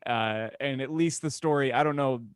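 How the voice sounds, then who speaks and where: A man's voice: medium pitch, normal speed, clean tone, no echo, studio quality. A man co-hosting a comedy podcast in casual, joking chat. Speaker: podcast co-host.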